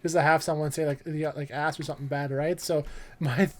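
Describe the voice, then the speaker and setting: A man's voice: on the high side, fairly even intonation, brisk. A man co-hosting a casual chat among friends, rambling along and often agreeing with the others. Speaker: podcast co-host.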